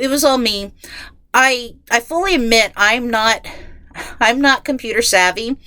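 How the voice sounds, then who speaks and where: A woman's voice: a little high, bright, forceful tone, no room sound. A woman hosting a casual, chatty solo podcast, sounding nasal and congested from a head cold, rambling along in a self-deprecating way, recorded inside a closet. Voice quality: nasal, stuffed-up. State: getting over a head cold. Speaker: podcast host.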